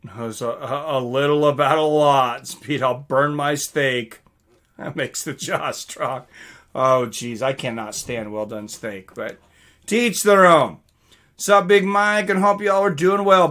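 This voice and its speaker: A man's voice: on the high side, a little slow, a bit bright. A man hosting a live stream, chatting casually and reading out comments from viewers.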